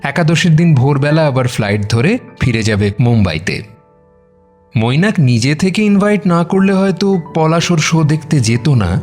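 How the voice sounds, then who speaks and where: A man narrating a scripted audio love story in a dramatic, expressive storytelling manner. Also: on the high side.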